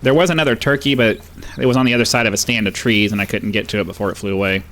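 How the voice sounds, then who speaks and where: A man's voice: fairly low pitch, fairly even intonation, very fast, fairly bright tone. A man hosting a gaming video with casual, easygoing hunting game commentary, thinking aloud in short bursts close to the microphone.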